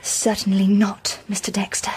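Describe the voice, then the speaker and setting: An actress with a British accent playing an earnest, determined young wife in a radio drama, polite and formal. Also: female voice, medium pitch, monotone, normal speed, a little mellow, whispered, natural voice. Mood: hushed. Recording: noisy radio drama soundscape with clicks.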